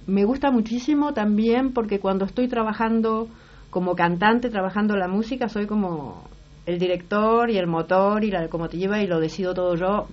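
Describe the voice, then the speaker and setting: A woman's voice: mid-range voice, moderate pace. A middle-aged woman from Uruguay with a Rioplatense accent, an actress and singer, answering interview questions thoughtfully and often restarting her sentences. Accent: Rioplatense Spanish. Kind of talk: casual interview talk. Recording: slightly muffled broadcast audio.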